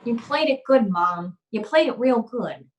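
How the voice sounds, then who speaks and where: A woman's voice: fairly high pitch, animated, measured pace, slight background noise. A woman playing a wry, slangy hardboiled male private eye in an old-time radio detective drama. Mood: gentle. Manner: slangy, wry, tough-talking. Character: hardboiled wisecracking male private eye, narrating his own case.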